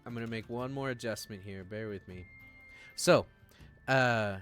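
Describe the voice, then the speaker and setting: A man's voice: on the low side, measured pace, a bit bright, faint music behind. A man acting as game master, improvising narration and chatting casually and jokily with players on a stream. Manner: informal, jokey, slangy.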